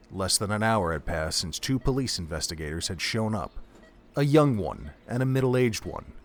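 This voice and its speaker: A man reading scripted third-person narration as the storyteller of an audio drama. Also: lowish voice.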